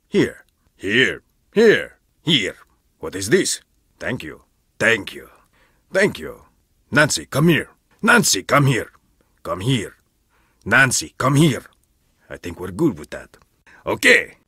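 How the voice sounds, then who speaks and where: A stern, husky archaeologist. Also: male voice, medium pitch, fairly bright tone, dry recording, studio quality, exaggerated voice. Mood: curt. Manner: harsh and commanding, barking orders and scolding. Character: stern, scornful male archaeologist who browbeats his student. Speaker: archaeologist mentor. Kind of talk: video game voice lines.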